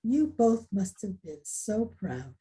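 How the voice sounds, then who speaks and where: An actress playing a proud but softening school principal, probing and candid in a dramatic table read, with a husky voice. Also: female voice, medium pitch, big pitch swings, slow pace, exaggerated voice. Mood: sympathetic.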